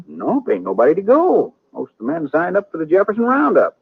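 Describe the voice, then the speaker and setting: A garrulous, friendly Old West blacksmith with a folksy rural Western drawl. The voice is husky and rather high, with lively swings of pitch, on an old radio drama recording. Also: male voice, a little fast, narrow, tinny audio, full character voice. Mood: gossipy. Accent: rural Western American. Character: talkative, friendly small-town frontier blacksmith.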